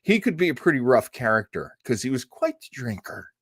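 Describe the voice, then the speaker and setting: Male host, mid-pitched, very lively delivery, casual and self-deprecating, digressive, dry recording. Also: a little fast, husky, dry recording. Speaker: show host. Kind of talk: casual live-stream chat.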